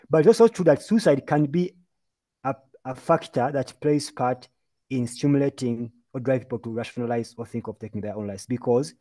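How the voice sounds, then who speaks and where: A man from Uganda speaks as a mental health presenter in an online webinar, laying out his points in an earnest, emphatic lecturing manner. His voice sits at an ordinary pitch with a mellow, darker tone, and he is heard over a video call. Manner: earnest, emphatic, lecturing with lists and repeated phrases.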